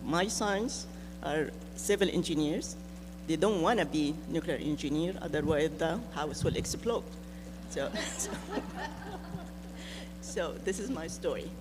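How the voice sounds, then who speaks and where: A woman's voice: deep voice, unhurried. Older woman, Egyptian accent, informal panel speaker, noticeable background hum. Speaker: nuclear engineer, panelist. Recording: noisy room with clear hum.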